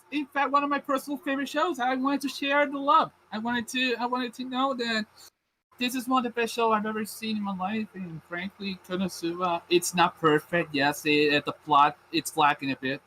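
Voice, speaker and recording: High bright Puerto Rican man rambling. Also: a little hiss or hum, poor audio. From Puerto Rico. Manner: rambling, restarts often, swears casually. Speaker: podcast co-host. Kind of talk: casual chat.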